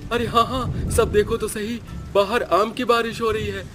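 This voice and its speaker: A man voicing an excitable village man in a dramatic, exclaiming way, for a Hindi cartoon story. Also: high-pitched, normal speed, faint music behind, voice pushed up. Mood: amazed delight.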